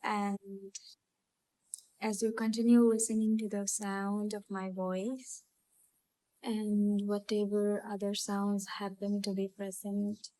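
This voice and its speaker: Woman, hypnotic guided meditation, calm, unhurried, long pauses, even intonation, close dry sound. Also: medium pitch, no room sound. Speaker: hypnotherapy channel host and guide. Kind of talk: guided visualisation, gentle hypnotic storytelling.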